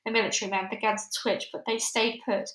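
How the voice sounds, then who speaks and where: A woman reading a children's book aloud as an expressive narrator. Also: medium pitch, quick pace. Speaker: story reader. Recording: echoey, roomy sound.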